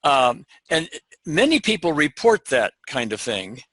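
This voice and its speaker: Soft-spoken older man guiding a session. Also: fairly high pitch, very animated, measured pace, slightly rough. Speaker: EFT practitioner hosting a session. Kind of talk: calm therapeutic interview.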